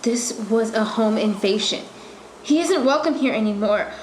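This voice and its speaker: An actress as a defiant, suspicious woman in a radio thriller, intense and indignant. Her voice is fairly high, in an echoey, noisy recording. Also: animated, normal speed, natural voice. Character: defiant, suspicious woman insisting she is the victim. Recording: echoey room, noticeable noise.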